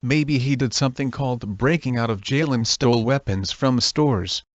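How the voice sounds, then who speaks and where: A man's voice: medium pitch, normal speed, no echo, highs cut off, natural voice. A synthetic male text-to-speech voice playing a stern boss who reads emergency alerts in a comedy skit. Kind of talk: formal scripted emergency announcement.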